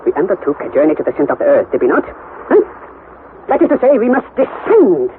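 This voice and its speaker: An actor playing an elderly, pedantic professor uncle in a vintage radio drama, excitable and impatient, exclaiming and repeating words for emphasis. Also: male voice, high voice, brisk. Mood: irritable, impatient. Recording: tinny, band-limited vintage radio, faint noise.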